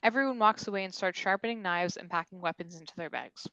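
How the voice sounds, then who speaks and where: A woman's voice: medium pitch, fast, pushed and bright, no room sound, dull audio. A woman reading stage directions as narrator at a comedy table read.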